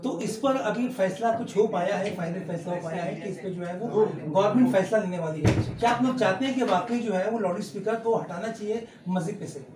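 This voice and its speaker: A man's voice: high voice, fairly quick, few pauses, far from the mic. A male reporter asking long, rambling questions full of fillers. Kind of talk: press interview questions. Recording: echoey, off-mic, crowd behind.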